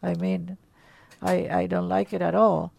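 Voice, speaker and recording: A woman's voice: on the low side, flat delivery, a little slow, gravelly, darkish tone. A woman hosting a call-in radio show, speaking emphatically and often repeating words as she starts a thought. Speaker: radio host.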